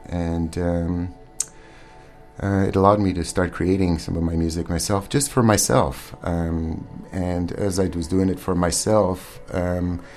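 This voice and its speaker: A man's voice: low voice, steady tone, measured pace, darkish tone. A man in his forties, raised in Montreal, talks as a guest musician in a radio studio, answering earnestly and hesitantly with many ums. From born in Armenia, raised in Montreal. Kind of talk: casual interview answers. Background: faint ambient music.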